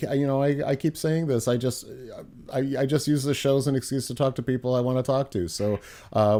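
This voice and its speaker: A man hosting an interview, talking in a halting way with stammering restarts. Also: medium pitch, dark tone. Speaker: host, choir artistic director. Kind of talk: interview conversation.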